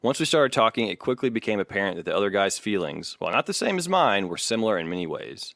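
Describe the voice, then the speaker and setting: A man's voice: lowish voice, very animated, quick pace, vocal fry, fairly bright tone, dry recording, clean studio sound. A man hosting a casual music podcast, with a wry, sardonic manner. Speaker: podcast host.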